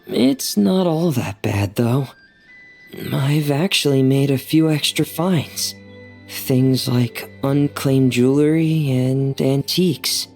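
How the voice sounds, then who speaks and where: An actor voicing a gentle, earnest young boy diver in a video game, polite, subdued and a little hesitant. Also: medium pitch, animated, takes it slowly, creaky. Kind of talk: video game character dialogue. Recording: faint music behind the voice.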